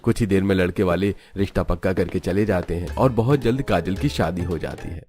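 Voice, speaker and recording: A man's voice: sits low, a little fast, a little mellow, soft background music. A man reading scripted narration for a cartoon family story.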